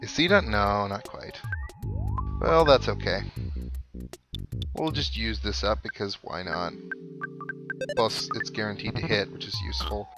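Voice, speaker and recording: A man's voice: lowish voice, unhurried, long pauses. A man giving casual, chatty video game commentary with wry asides as he plays. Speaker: let's play host. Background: video game music.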